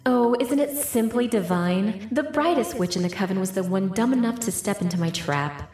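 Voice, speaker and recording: A woman's voice: mid-range voice, animated, normal speed, a little mellow. Echoing, haughty fey queen. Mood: gloating, scheming. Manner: mocking, theatrical, condescending. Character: haughty, gloating fey Queen of brambles and vines. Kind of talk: dark fantasy audio drama. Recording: echoey, distant-sounding voice.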